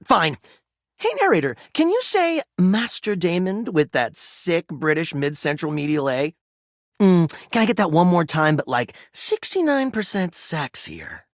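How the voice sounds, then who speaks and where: A goofy video game developer. His delivery is playful, casual and slangy, with extremely varied pitch in a close, dry recording. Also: very animated, a little slow, no room sound. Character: goofy, good-natured male voice actor and game developer. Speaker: actor and game creator character.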